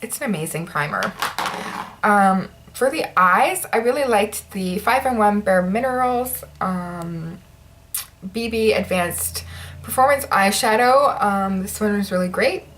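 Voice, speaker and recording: A woman's voice: medium pitch, expressive, a little slow, fairly bright tone, low-quality recording. Woman beauty vlogger, casual and rambling, slightly nasal from a stuffy nose, faint noise in the background. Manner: chatty, many ums and likes. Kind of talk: casual product review.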